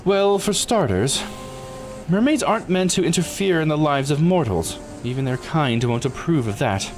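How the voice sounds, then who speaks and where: Man playing a composed, blunt law officer, animated delivery. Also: on the high side, faint music behind, natural voice. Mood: measured. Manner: measured, formal, matter-of-fact explaining.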